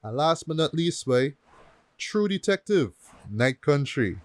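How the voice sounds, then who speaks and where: A man's voice: fairly high pitch, sing-song, unhurried, no room sound. A man hosting a podcast, chatting casually through his picks and often tagging statements with "right?" and "you know". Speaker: podcast host presenting a best-of list. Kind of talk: casual podcast chat about TV shows.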